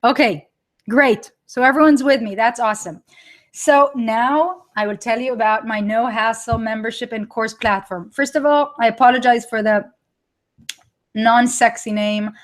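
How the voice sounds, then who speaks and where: A woman's voice: fairly high pitch, fairly even intonation, moderate pace. A woman with an Israeli accent hosting a live webinar, chatting with viewers as she presents her product, with frequent restarts. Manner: chatty, reads live chat and reacts to viewers.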